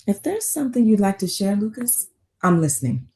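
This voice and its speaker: A woman's voice: medium pitch, very animated, fairly quick, dark tone. A woman in a table read playing a composed, careful, concerned doctor in a drama. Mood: calm, attentive. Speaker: actor in a script table read. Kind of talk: dramatic script reading.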